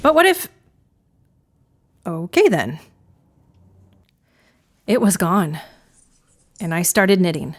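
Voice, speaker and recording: An actress playing a frazzled female knitter confessing in an audio drama dark comedy, with a theatrical, wry, confiding manner. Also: lowish voice, sing-song, takes it slowly, pauses often, exaggerated voice. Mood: hesitant, uneasy, then amazed, relieved.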